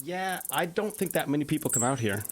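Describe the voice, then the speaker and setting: A man playing an easygoing high school boy in a radio play, talking casually with a slight hesitance. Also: lively intonation, a little fast, close to an everyday voice. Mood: casual, defensive.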